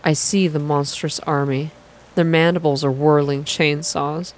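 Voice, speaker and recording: A woman reading a story aloud in a calm, slow, hushed ASMR style. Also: deep voice, faint music behind. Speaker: reader of a visual novel for an online channel.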